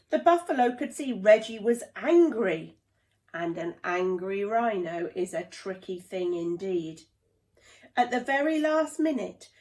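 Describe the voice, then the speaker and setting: Slow, expressive woman storyteller. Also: mid-range voice. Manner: slow, deliberate, dramatic storytelling. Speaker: play therapist reading a children's book. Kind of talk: expressive children's storybook narration.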